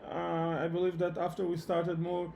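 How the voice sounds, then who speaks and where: A man who speaks English as a second language, teaching programming in a live online lecture with halting phrasing and repeated words. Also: a little high, completely flat delivery, gravelly, a little mellow, a little hiss or hum. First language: not English. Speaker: programming instructor. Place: online meetup session at a computer.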